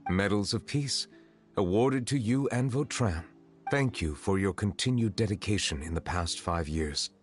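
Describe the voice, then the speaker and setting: An actor playing a grave, courteous and cautious chief justice in a video game, formal and measured. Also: male voice, lowish voice, natural voice. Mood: formal, appreciative. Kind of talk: video game character acting. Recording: music behind the voice. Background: game music.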